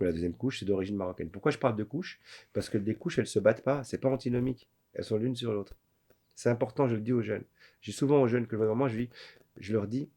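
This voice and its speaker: Low-voiced man, reflective. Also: darkish tone, breathy edge. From Belgium. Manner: reflective, many restarts and fillers, builds lists. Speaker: guest, playwright. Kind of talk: candid podcast interview.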